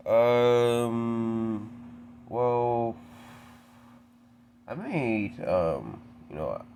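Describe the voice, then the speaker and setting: A young man from the American Deep South with a very deep voice, stuttering and halting through a casual solo Q&A. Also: a little mellow, slight background noise. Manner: stuttering, halting with repeated words. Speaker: video creator answering viewer questions.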